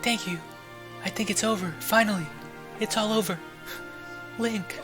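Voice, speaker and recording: Man putting on a high, soft young heroine. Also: fairly even intonation, music in the background. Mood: relieved, tender. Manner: soft, halting.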